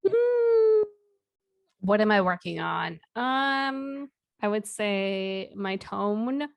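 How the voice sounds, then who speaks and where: A young woman co-hosting a podcast in casual chat, with a low voice and a quiet, reserved, chill way of talking. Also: big pitch swings, drawn-out words, vocal fry, dull audio. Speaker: podcast co-host.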